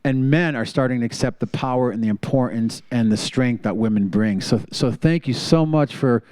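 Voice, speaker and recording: A man's voice: mid-range voice, normal speed, a little mellow, no echo. A man hosting a TV talk show, chatting enthusiastically with guests, with some fillers and restarts. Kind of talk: casual interview chat.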